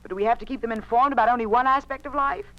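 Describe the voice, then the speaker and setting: An actress playing an indignant, outspoken women's-page editor in a 1950s radio drama, emphatic and articulate in protest. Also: female voice, a little high, rapid-fire, sharp tone. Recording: muffled old radio recording.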